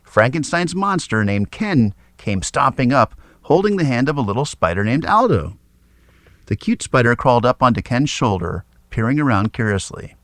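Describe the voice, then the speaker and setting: Animated male children's storyteller, dry sound. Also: medium pitch, normal speed, no room sound. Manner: expressive, dramatic read-aloud delivery. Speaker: storybook narrator. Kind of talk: scripted children's story narration.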